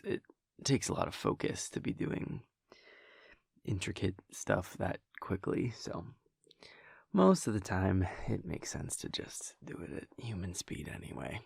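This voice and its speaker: A man playing a playful, affectionate vampire boyfriend in an intimate audio roleplay, talking casually and teasingly in a breathy, gravelly voice that often drops near a whisper. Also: mid-range voice, a little mellow, no echo, studio quality, exaggerated voice. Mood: wry, joking. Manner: wry, teasing, hesitant, chuckling casual delivery.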